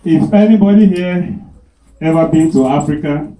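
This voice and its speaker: A man with a deep voice telling a comic story to a small crowd at his business event. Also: moderate pace, roomy sound, low-quality recording. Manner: lively, animated storyteller. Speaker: business owner and amateur comedian.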